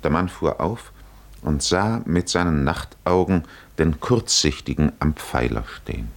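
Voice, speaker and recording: Slow, very low-voiced solemn male narrator. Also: animated, creaky. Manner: solemn, measured. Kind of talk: scripted literary narration, 1950s radio drama.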